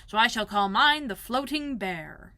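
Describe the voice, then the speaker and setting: Lady doing a slow dim lovable boy bear from a kids book, growly, proud, takes his time, dry sound. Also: fairly high pitch, lively intonation, pushed and bright, no room sound. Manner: slow, hesitant, deliberate. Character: humble, proud, slow-witted male toy bear.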